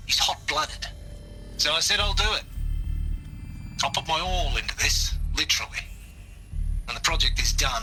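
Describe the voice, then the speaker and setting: An actor playing a bitter, resigned older scientist and outdoorsman in a video game monologue, measured and wryly disdainful. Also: fairly high pitch, pushed and bright, rough recording. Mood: reflective, then grim resolve. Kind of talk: recorded confessional monologue, video game acting.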